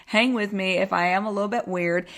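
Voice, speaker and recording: A woman's voice: mid-range voice, fairly even intonation, fairly quick. Bubbly Texan woman chatting casually. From Texas, United States. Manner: bubbly, rambling, informal, self-deprecating. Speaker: podcast host and health coach. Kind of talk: casual solo podcast chat.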